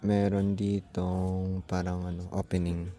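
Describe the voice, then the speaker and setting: A man's voice: sits low, little pitch movement, unhurried, mellow. A Filipino man giving a casual hands-on gadget walkthrough, thinking aloud tentatively and mixing in English technical words. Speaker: vlogger.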